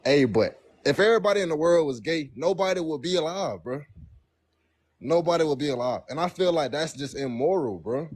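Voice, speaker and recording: A man's voice: on the high side, animated, brisk, a little mellow, breathy edge. A nineteen-year-old man calling in to a debate, talking in casual slang and repeating himself defensively. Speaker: caller.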